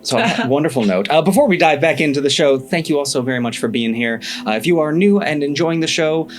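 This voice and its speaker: A man hosting and narrating a tabletop game as game master, speaking casually with halting pauses as he picks his words. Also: fairly high pitch, lively intonation. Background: background music.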